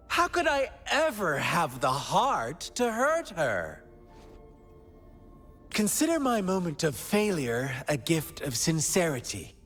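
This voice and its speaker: An actress playing a sly, teasing villainess in a video game, with a drawling, mock-hurt, theatrical delivery. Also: female voice, low voice, big-room sound, exaggerated voice. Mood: coaxing, smug.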